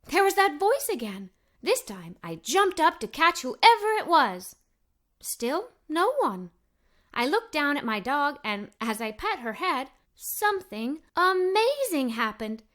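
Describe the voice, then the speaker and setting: Woman, high voice, bright tone, expressive children's read-aloud narration, first-person young girl storyteller. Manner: expressive, wonder-filled storytelling.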